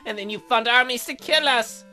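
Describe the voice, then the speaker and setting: Woman playing a righteous, forceful young vigilante. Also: a little high, normal speed, faint music behind. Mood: righteous anger. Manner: righteous, bossy, preachy. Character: zealous angry young female vigilante kidnapper.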